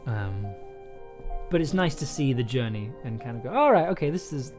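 A man's voice: mid-range voice, lively intonation, moderate pace, a little mellow, soft background music. A young man chatting casually on a livestream, rambling and hesitant, often breaking off and restarting his own sentences. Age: young adult. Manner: rambling, hesitant, self-interrupting. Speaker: audio drama maker and livestream host. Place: small indoor home room.

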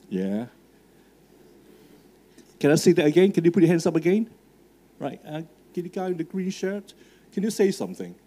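A man's voice: on the high side, dark tone, husky. A middle-aged man with a Hong Kong English accent, a native Cantonese speaker, giving a live talk to students in a marquee tent. He speaks conversationally with fillers and asks the audience questions. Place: large marquee tent with a stage. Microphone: handheld microphone.

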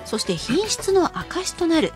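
A woman with a high, very lively voice delivers a radio shopping pitch. She is emphatic and spells out key figures carefully. Music plays clearly behind her. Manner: emphatic, slowing to spell out prices and numbers. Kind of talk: live radio sales pitch. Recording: music bed under the voice.

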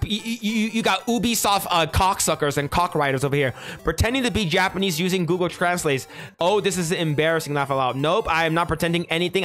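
A young man talking casually into a headset mic, his voice sitting a touch high for a man. He reads posts out slowly and steadily, then breaks off to swear and react. Faint music plays behind him. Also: fairly bright tone. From United States. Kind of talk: casual commentary, reading posts aloud. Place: small room indoors at a desk.

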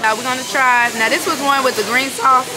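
A woman's voice: sits high, steady tone, a little fast. A woman in her twenties chatting casually to a vlog camera in a playful, slangy way. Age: 20s. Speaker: travel vlogger. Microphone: handheld camera at arm's length. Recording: noisy handheld outdoor vlog audio.

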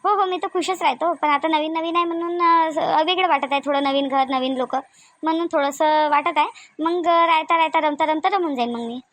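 Village family woman played by a female actor, cartoon drama. High, brisk, colloquial Vidarbha Marathi accent, dry close sound. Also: fairly even intonation, a bit bright, no room sound. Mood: shy, uneasy. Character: women of a village family: shy bride, chatty sisters-in-law, scolding aunt.

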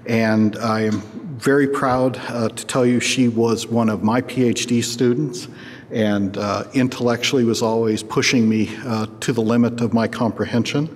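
An older male professor at a podium introducing a guest lecturer, halting and full of filler words. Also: mid-range voice, fairly even intonation. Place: large lecture hall, at a podium. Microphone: podium microphone. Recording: reverberant hall, faint background noise.

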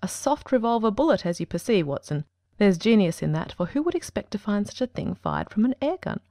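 Lady doing cool brainy detective man, super quick, soft-edged tone, formal audiobook. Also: mid-range voice, very animated, no echo, studio quality. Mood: brisk, appreciative. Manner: crisp, formal, commanding. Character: coolly self-assured, precise male detective genius. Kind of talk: dramatic audiobook reading.

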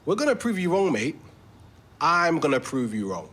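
A man's voice: a little high, sing-song, moderate pace, fairly bright tone, husky, full character voice. An actor playing an eager, earnest young London theatre actor in an audio drama, overenthusiastic and stumbling over his words, with a London accent. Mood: keen, defensive. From London, England. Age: young adult. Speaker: actor in a fiction podcast. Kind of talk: naturalistic audio drama dialogue. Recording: faint ambient background noise.

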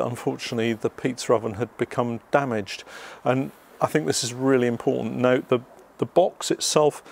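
British guy doing a casual outdoor unboxing vlog, chatty and explains stuff, medium pitched voice. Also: vocal fry, slight background noise. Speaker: vlog host. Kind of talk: casual unboxing narration to viewers.

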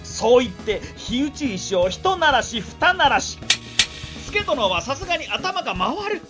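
A woman acting a brash, talkative samurai scout in a radio drama, reeling off war news in rough, theatrical storytelling patter. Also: high-pitched, big pitch swings, measured pace, music in the background. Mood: excited, boastful.

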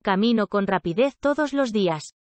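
Woman with a mid-pitched reading voice. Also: animated, moderate pace, dry recording. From Spain. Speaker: language lesson speaker. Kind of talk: reading practice sentences aloud.

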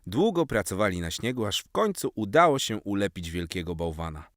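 A man narrating a scripted children's story in an expressive storytelling style. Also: lowish voice, fairly quick, no room sound, clean studio sound.

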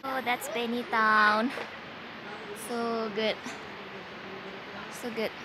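A woman's voice: a little high, level tone, very slow, background noise. A young woman vlogging while she climbs a forest mountain trail, tired and sweating, chatting to the camera and cheering herself on. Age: young adult. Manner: chatty, breathless from climbing, self-encouraging. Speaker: travel vlogger. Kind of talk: hiking vlog narration. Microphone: handheld camera held close.

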